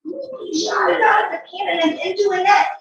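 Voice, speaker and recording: A woman reading a picture book aloud to a child, expressive, drawing out words and adding chatty asides. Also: very high-pitched, normal speed, heavy reverb, distant mic, lo-fi sound, slight background noise.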